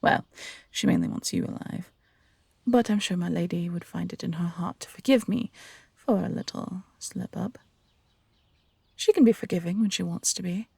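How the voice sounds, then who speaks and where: A woman voicing a smug, menacing bounty hunter in an audio roleplay, taunting and condescending in an unhurried way. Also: lowish voice, vocal fry, mellow, slightly rough, no echo. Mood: cold threat.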